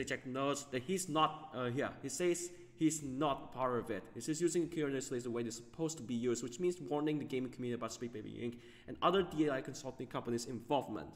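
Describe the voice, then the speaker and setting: A man hosting a gaming video, giving off-the-cuff commentary in a halting way, often stumbling over his words. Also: mid-range voice, in a large hall.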